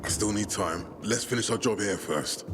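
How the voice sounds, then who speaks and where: An actor playing a stern, weary military commander who gives curt, authoritative orders in a video game cutscene. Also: male voice, on the low side, normal speed, background noise, natural voice. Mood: businesslike.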